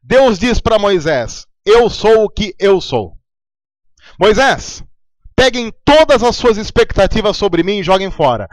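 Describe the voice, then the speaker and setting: Brazilian male pastor, high animated voice, dry close sound, chatty sermon delivery. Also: unhurried, no room sound. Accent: Brazilian Portuguese. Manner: conversational preaching with anecdotes.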